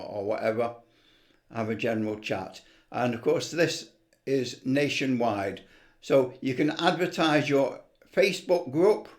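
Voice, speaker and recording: Man casually narrating a homemade tutorial. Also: medium pitch. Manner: unscripted, rambling, talks to himself while working. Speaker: amateur video presenter, CB radio hobbyist.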